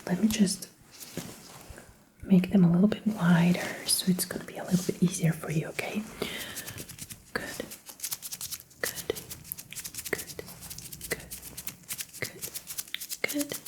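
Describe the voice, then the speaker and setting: A woman whispers slowly and breathily, playing a doctor in an ASMR exam. Her delivery is gentle, with simple instructions that she often repeats. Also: lowish voice. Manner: gentle, slow, repetitive instructing. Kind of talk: ASMR medical exam roleplay.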